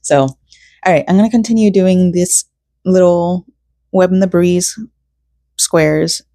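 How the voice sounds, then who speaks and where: A woman's voice: on the low side, measured pace, mellow, no echo, clean studio sound. Chatty, tired young woman vlogging. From South Korea, raised in Florida. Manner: rambling and chatty, with frequent fillers and self-corrections. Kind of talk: casual personal vlog chat while crocheting.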